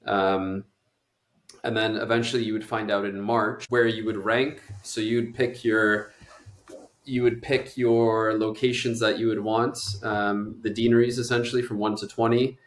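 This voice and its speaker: A man's voice: on the low side, level tone, measured pace. A man, a doctor being interviewed, explaining things at length in a casual, conversational way. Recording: echoey room.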